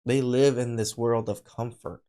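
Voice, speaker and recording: A man's voice: mid-range voice, fairly even intonation, measured pace, mellow. Casual man giving opinionated reactions. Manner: informal, opinionated, conversational. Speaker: podcast host reacting to a video.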